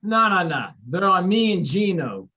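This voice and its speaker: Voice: medium pitch, big pitch swings, moderate pace, bright, forceful tone, dull audio. A performer voicing a brash, chatty man with a Philadelphia accent. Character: brash middle-aged man from Philly.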